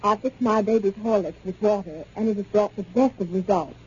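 A woman's voice: medium pitch, fairly quick, darkish tone, husky. A woman playing a grateful mother reading a scripted testimonial for a 1930s radio advertisement. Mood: earnest. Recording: tinny phone-like 1930s radio recording.

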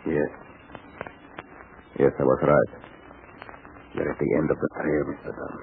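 An actor playing an imperious, scheming baron in an old radio serial, speaking in a clipped, formal, commanding way. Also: male voice, very deep voice, level tone, unhurried, vocal fry, full character voice. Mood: watchful, scheming. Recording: tinny, noisy old radio recording.

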